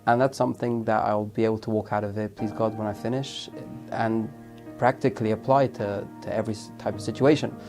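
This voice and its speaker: A young man with a fairly low voice talks earnestly about his training. He reflects as he goes and restarts his phrases now and then. Soft music plays behind him. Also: fairly even intonation, fairly quick, hardly any pauses. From London, England. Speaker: teacher trainee. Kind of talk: testimonial interview.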